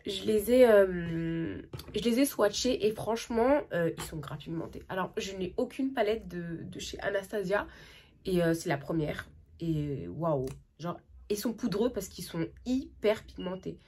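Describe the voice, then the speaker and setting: A young woman with a cold, chatting excitedly and casually through a makeup tutorial, with lots of slang and trailing sentences. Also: medium pitch, a little slow, slight background noise. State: has a cold. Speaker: makeup vlogger.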